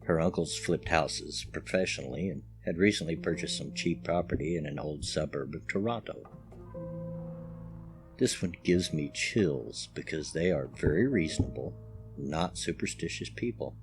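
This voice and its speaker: A man's voice: extremely low pitch, normal speed. A man narrating first-person scary stories as a storyteller. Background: music.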